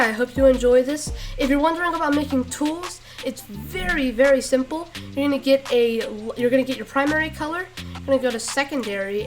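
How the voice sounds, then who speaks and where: A woman with a rough voice giving a casual step-by-step tutorial, halting with fillers and restarts. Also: high-pitched. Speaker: tutorial creator. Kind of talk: casual screen-recorded tutorial.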